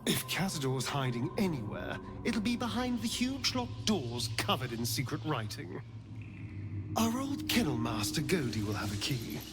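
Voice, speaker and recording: An actor playing a bitter, sardonic vampire spawn in a video game, with a theatrical, biting delivery. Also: male voice, fairly high pitch, sing-song, moderate pace. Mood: wry, wary. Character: sardonic, bitter male vampire spawn, two centuries old. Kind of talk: video game character acting. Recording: game music under the voice.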